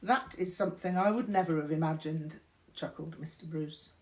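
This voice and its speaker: An older woman reading a memoir aloud in a measured, deliberate storytelling manner, indoors. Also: sits low, a little mellow. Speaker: reader of a memoir. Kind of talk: audiobook-style narration of a memoir. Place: indoors, small room.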